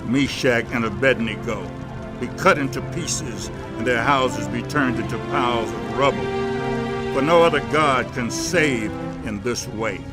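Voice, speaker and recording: A man's voice: mid-range voice, unhurried, loud music behind the voice, close to an everyday voice. A man acting a proud, volatile Babylonian king in a dramatized audio Bible, speaking in a regal, declamatory way. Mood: solemn, exalting.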